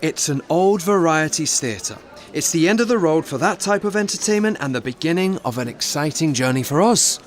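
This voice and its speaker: An actor playing an earnest, insistent theatre business manager in an audio drama. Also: male voice, fairly high pitch, lively intonation, moderate pace, close to an everyday voice. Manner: insistent, persuasive.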